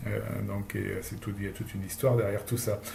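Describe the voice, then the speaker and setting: A man giving a recorded lecture on language teaching, pausing often with fillers. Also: lowish voice, flat delivery, vocal fry, warm tone, roomy sound, slight background noise. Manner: hesitant, many fillers.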